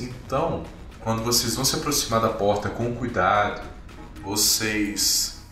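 A Brazilian man working as game master, improvising tabletop RPG narration in a halting, descriptive way with frequent tag questions. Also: lowish voice, fairly bright tone. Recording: very echoey room, distant, music behind. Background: music.

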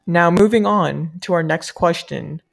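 A woman from the US, a native Malayalam speaker, asking interview questions in a polite, measured, rehearsed way. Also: on the low side, gravelly. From United States. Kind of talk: scripted-sounding interview questions.

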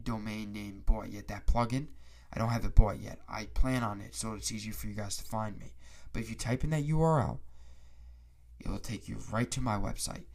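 Stuffy-nosed new guy doing his sports podcast, raspy throat, kinda rambly. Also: on the low side. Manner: rambling, restarts often. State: congested, stuffy nose. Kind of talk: casual solo podcast talk.

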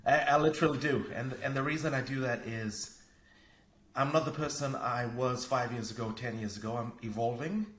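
A man's voice: mid-range voice, moderate pace, fairly bright tone. A man from Fiji speaking as a podcast guest, explaining himself conversationally and reflectively. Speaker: podcast guest, consultant and coach. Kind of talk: conversational interview. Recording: roomy, echoey sound.

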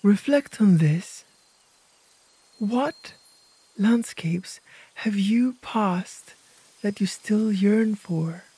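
A woman narrating a guided imagery meditation as host, speaking gently in short, hushed phrases with pauses. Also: medium pitch, slightly rough, no echo.

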